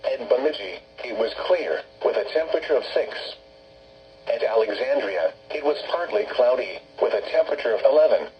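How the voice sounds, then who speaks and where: Synthetic male announcer, scripted forecast reading, flat formal phrasing, lo-fi radio sound, humming background noise. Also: medium pitch, brisk. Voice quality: synthetic text-to-speech voice. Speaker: automated weather radio announcer. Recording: muffled, noisy weather radio broadcast with hum.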